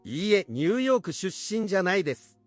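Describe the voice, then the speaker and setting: Male text-to-speech voice, very fast, high and lively, faint music behind. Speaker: language app voice. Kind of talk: text-to-speech practice sentences.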